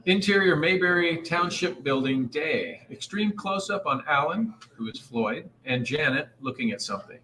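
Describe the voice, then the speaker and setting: A man's voice: a little high, expressive, moderate pace, hardly any pauses, bright, forceful tone, low-quality recording. A man directing a live table read and reading out the scene descriptions in an informal, directive way. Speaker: director and stage-direction reader.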